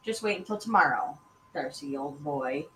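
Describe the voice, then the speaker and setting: A woman voicing a calm, practical father in a children's storybook reading. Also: low-pitched, normal speed, voice pushed down. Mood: wry. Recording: echoey room with faint noise.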